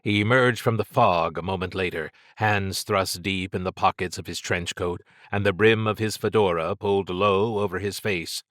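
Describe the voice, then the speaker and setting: A man reading scripted fiction as an audiobook narrator, in a measured, suspenseful storytelling manner. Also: low-pitched, fairly even intonation, a bit bright, no echo, clean studio sound.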